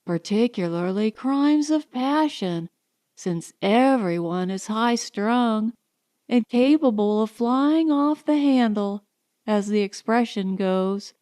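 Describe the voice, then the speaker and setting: Woman playing a high-pitched, gushing, haughty young socialite. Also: animated, slow pace, mellow, dry recording, studio quality. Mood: bored, provocative. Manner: gushing, affected, condescending. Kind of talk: audiobook character dialogue.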